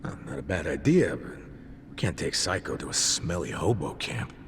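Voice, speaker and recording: An actor playing a gruff, down-to-earth middle-aged ex-cop in an English game dub, blunt and casual. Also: male voice, rumbling low, sing-song, normal speed, background noise. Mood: easygoing, blunt. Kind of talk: English video game voice acting.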